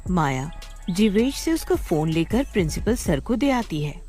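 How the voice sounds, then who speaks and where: Expressive woman storyteller with animated intonation. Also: lowish voice, unhurried, soft background music. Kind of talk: scripted dramatic story narration.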